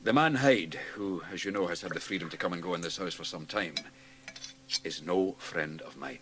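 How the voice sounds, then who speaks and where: An actor playing a troubled, authoritative gentleman doctor in a radio drama, controlled but halting under strain. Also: low-pitched, a little hiss or hum. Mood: strained authority.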